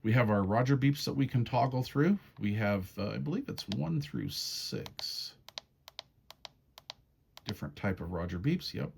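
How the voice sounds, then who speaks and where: A man's voice: medium pitch, lively intonation, a little slow, vocal fry, a little mellow, a little hiss or hum. A man giving a casual, hands-on product walkthrough in a folksy, step-by-step, conversational way. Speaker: radio reviewer demonstrating menu settings.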